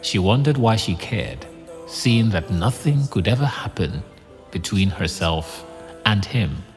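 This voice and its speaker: Narrator: male, lowish pitch, scripted storytelling, hall-like reverb, faint music. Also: lively intonation, unhurried.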